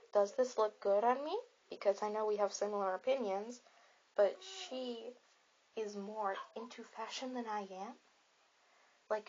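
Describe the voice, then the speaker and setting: High-voiced chatty, reassuring teen girl, played by a woman. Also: muffled recording. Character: friendly popular teenage girl comforting an anxious partygoer. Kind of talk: ASMR roleplay, soft casual chat.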